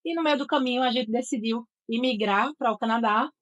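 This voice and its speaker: A woman's voice: on the high side, brisk, lo-fi sound. A middle-aged woman with a Northeastern Brazilian accent from Sergipe, a voice and speech therapist telling her career story in an interview with an assured, articulate manner. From Aracaju, Sergipe, Brazil.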